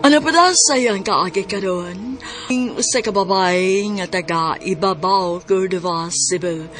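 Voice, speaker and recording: A woman introducing a radio drama, slow and announcing. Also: medium pitch. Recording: radio with music underneath.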